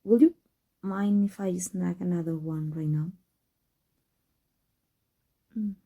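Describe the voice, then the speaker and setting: A woman acts out a guarded villainess in love with her rival, in an intimate ASMR audio drama. Her voice is very dark and soft, with a breathy husk and some creak. She speaks haltingly, with tender emotion. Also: lowish voice. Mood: soft, affectionate. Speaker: voice actor. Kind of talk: intimate ASMR roleplay acting.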